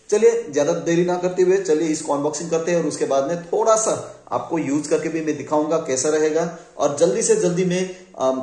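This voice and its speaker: A man's voice: a little high, warm tone, husky, dry recording. A man in his thirties, a vlogger chatting casually while he unboxes and shows camping gear, with many fillers and restarts. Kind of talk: casual product unboxing vlog. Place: indoors at home, later on an open rooftop outdoors.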